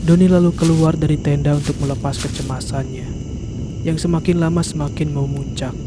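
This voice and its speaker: A man's voice: a little high, steady tone, fairly quick, noisy background. A man narrating a horror story in a scripted storytelling style.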